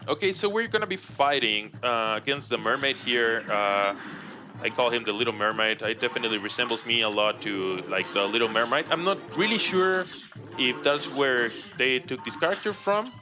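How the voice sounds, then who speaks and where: A man's voice: medium pitch, moderate pace. A man from Mexico with a Mexican Spanish accent, his first language Spanish, chatting casually through a live speedrun commentary. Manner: relaxed, chatty, laughs often, reads chat aloud. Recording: dull lo-fi stream audio with game music. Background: game music.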